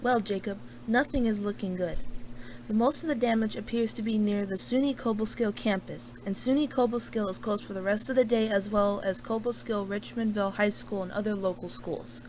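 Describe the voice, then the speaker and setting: A woman's voice: medium pitch, brisk, a little mellow, slight background noise, lightly acted. A woman playing a serious local TV reporter, giving an earnest, matter-of-fact scripted report from a disaster scene. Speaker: field news reporter. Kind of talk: scripted live news report.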